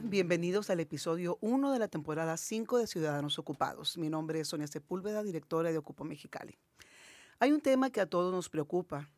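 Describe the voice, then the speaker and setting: A woman's voice: on the low side, no room sound. A woman hosting an interview, who opens with formal introductions and then asks questions with some fillers. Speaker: host, director of the organization.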